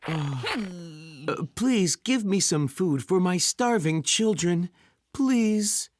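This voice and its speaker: A woman's voice: mid-range voice, big pitch swings, slow, a little hiss or hum. A woman playing a poor, kindly, meek father in a children's storybook, hesitant and apologetic, over music. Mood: pleading. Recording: loud music under voice.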